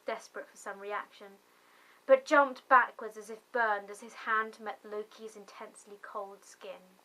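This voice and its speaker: A woman narrating a story in a theatrical, deliberate manner, swelling on key phrases for emphasis. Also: medium pitch, pushed and bright, low-quality recording. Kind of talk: dramatic story reading.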